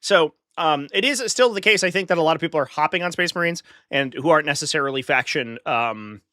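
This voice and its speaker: A man's voice: on the high side, expressive, brisk, bright, forceful tone, dry recording. A man with a stuffy nose from a flu, hosting a live stream in casual chat, talking straight to his viewers with dry sarcastic asides.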